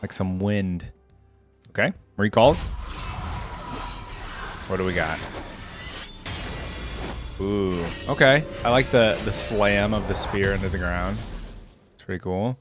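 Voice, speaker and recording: A man's voice: fairly low pitch, slow, long pauses. A man giving casual reaction commentary as a video host, musing and thinking aloud. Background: game music and sound effects.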